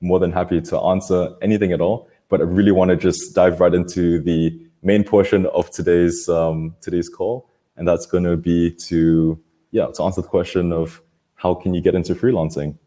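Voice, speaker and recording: A man's voice: deep voice, little pitch movement, normal speed, warm tone. A man hosting an online panel in a casual, informal way, speaking somewhat hesitantly on a video call. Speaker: host of an online panel webinar. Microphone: computer video call.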